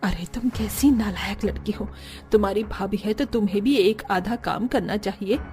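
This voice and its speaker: A woman's voice: mid-range voice, moderate pace, darkish tone, close to an everyday voice. A woman voicing a stern middle-aged mother in a cartoon, scolding as she speaks. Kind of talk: Hindi cartoon dialogue acting.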